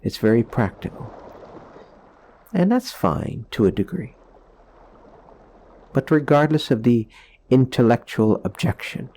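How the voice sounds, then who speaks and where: A man leading a guided meditation in a soothing, gentle, explanatory way, leaving pauses between phrases. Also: medium pitch, breathy edge.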